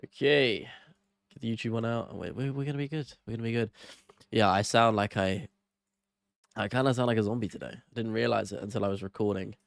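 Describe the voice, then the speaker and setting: A young man with a cold hosts a relaxed live stream, his nasal, gravelly voice sitting fairly low. He chats loosely with viewers, apologizing and thinking out loud. The sound is dry and close, with no room around it. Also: a little fast, dry recording. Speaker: MMA live-stream host. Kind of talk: casual live stream chat, reading out viewer comments.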